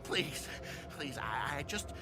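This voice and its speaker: Mad game villain, high-pitched, theatrical, gloating, menacing, slow drawn-out cackling delivery. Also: male voice, animated, noisy background. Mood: pleading, obsessed. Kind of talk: game cutscene voice acting.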